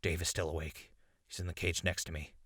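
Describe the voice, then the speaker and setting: An actor playing a captured radio host in a post-apocalyptic audio drama, urgent and stubbornly hopeful, with a gravelly, husky voice. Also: male voice, sits low, little pitch movement, a little fast, fairly bright tone, no echo, clean studio sound. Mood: worried, urgent.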